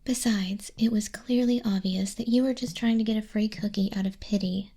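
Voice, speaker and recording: A woman playing a sardonic, bored demon clerk in a whispered ASMR roleplay, soft-spoken and condescending, close to a binaural microphone. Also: medium pitch, steady tone, moderate pace, breathy edge. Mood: scolding, dry. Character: sardonic, bored female demon clerk registering sinners in Hell.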